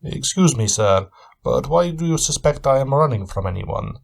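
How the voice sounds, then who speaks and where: A man's voice: mid-range voice, lively intonation, moderate pace, vocal fry, voice pushed up. A man voicing a weary, haunted male vampire hunter who talks in an earnest, formal, intense way. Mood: curious.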